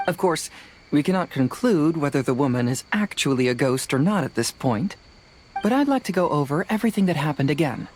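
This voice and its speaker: A woman's voice: low voice, lively intonation, fairly quick, darkish tone, slight background noise. Composed young female investigator. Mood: cool, confident. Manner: composed, methodical, matter-of-fact. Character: calm, fearless, analytical young female investigator. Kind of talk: video game voice acting.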